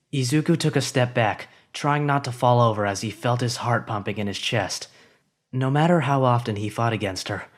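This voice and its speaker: Man steadily narrating a story. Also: mid-range voice. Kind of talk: scripted narration of fan fiction.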